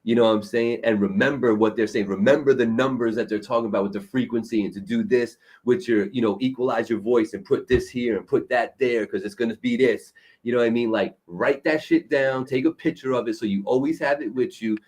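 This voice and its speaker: A man's voice: medium pitch, a little fast, few pauses, a little mellow. A man hosting a casual talk show, encouraging and slangy, often checking in with his guest. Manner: encouraging, slangy, keeps saying "you know what I'm saying". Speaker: show host.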